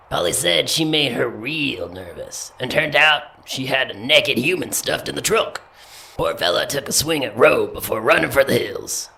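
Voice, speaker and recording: An actor plays a folksy male drifter for an audio drama. His voice is gravelly yet bright and swings up and down as he rambles along in an easygoing way. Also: mid-range voice, heavily acted. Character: folksy, easygoing drifter and diner odd-jobber.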